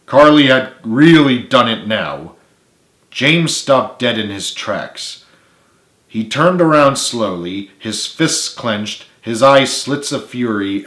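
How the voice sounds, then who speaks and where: A man's voice: on the low side, fairly bright tone. A man reading his own story aloud as a dramatic audiobook narration. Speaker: author reading own book. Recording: echoey room.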